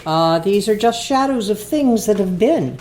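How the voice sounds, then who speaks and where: Woman playing a slow, swooping, gentle ghostly guide. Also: mid-range voice, animated, lightly acted. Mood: gently probing. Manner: measured, coaxing, theatrical. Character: gentle, solemn guiding spirit of times past. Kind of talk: stage-style radio play acting.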